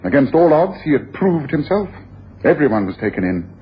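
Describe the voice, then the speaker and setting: A man's voice: fairly high pitch, sing-song, moderate pace. A self-assured detective with a theatrical, domineering delivery. His voice swings widely as he makes his points, in a dull, noisy old radio broadcast. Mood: triumphant, incisive. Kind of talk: 1980s radio drama acting.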